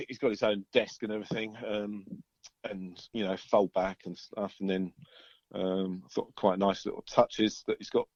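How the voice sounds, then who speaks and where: Low-voiced man, dull recording. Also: moderate pace, darkish tone, no room sound. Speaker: band singer and songwriter being interviewed. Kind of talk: casual interview chat.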